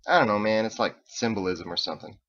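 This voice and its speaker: A man voicing a small, tough male music fan in a novel, with a gruff, offhand, slangy delivery. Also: lowish voice, fast talker, a bit bright, dull audio, lightly acted.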